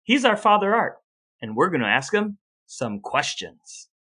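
A man's voice: high voice, sing-song, unhurried, sharp tone, husky. A man co-hosting a podcast, chatting and presenting from partly scripted notes. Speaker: podcast co-host.

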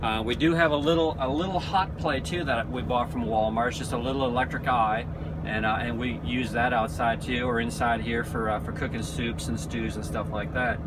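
A middle-aged man cooks and talks in an easygoing, rambling way, full of little restarts and fillers. His voice sits in an ordinary middle range. Loud engine and traffic rumble sit under everything he says. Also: normal speed. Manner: relaxed, rambling with frequent ums and restarts. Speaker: vlogger, truck driver. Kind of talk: casual cooking vlog. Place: outdoors beside a truck at night, then inside the truck cab.

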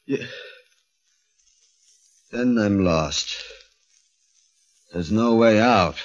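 An actor playing a tormented, desperate circus freak turned giant in a melodramatic old-time radio drama. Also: male voice, fairly low pitch, extremely slow. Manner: melodramatic, emphatic. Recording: dull old radio recording, music behind.

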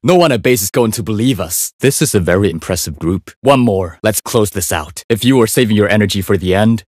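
An actor playing a calm, focused young Chinese assassin in a video game, cool, clipped and politely deadly. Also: male voice, lowish voice, fairly quick, no echo, studio quality. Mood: cool, composed. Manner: cool, understated, clipped delivery. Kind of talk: video game character voice lines.

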